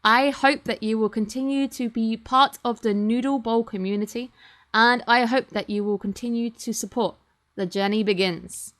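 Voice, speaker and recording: A woman's voice: a little high, steady tone, normal speed, fairly bright tone. A British woman in her twenties, chatting casually and in a rambling, self-deprecating way as she thanks her channel's viewers. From Britain. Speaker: channel host. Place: home streaming setup. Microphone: computer or webcam mic.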